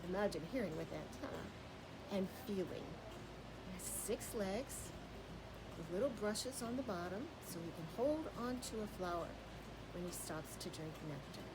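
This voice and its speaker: A woman reading a children's story aloud as a naturalist, with simple expressive phrasing and asides that put questions to young listeners. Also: mid-range voice, moderate pace, very noisy. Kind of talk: children's story time read-aloud with show-and-tell asides.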